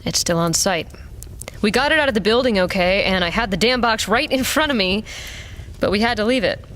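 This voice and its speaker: A woman's voice: medium pitch, animated, fairly quick, a bit bright, slight background noise, natural voice. A woman playing a weary, sardonic mercenary pilot in an audio drama, talking in a blunt, casual way. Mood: weary, regretful.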